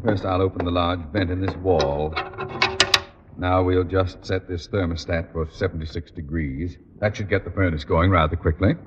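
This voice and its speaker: A man's voice: sits low, fairly even intonation, normal speed, natural voice. An actor playing a pompous, stuffy middle-aged boss and father in a blustering, formal manner for a 1950s radio comedy. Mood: businesslike. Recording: muffled old radio recording, noisy, hall reverb.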